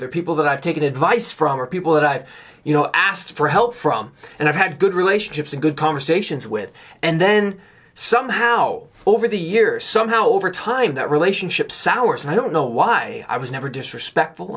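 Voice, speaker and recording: A slightly hoarse man giving a candid, earnest vlog monologue, speaking emphatically as someone a little under the weather. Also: a little high, fairly bright tone. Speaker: YouTuber on real estate investing.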